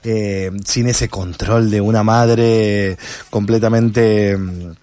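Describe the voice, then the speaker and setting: An Argentine man giving conversational interview answers, reflective and halting as he rephrases his thoughts. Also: lowish voice, fairly even intonation, warm tone, no room sound. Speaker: interviewed actor and content creator.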